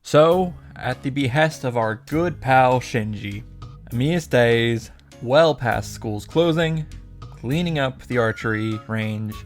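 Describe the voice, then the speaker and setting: A man hosting a visual novel reaction summary, narrating casually and comedically with sarcastic, sweary commentary. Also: mid-range voice. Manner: sarcastic, profane. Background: music.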